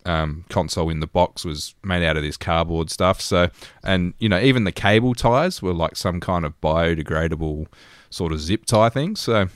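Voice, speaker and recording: A man with a notably low voice talks casually about games as a podcast co-host. He explains things plainly and matter-of-factly, recorded dry with no room around him. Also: moderate pace, few pauses, no echo. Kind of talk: casual gaming podcast chat.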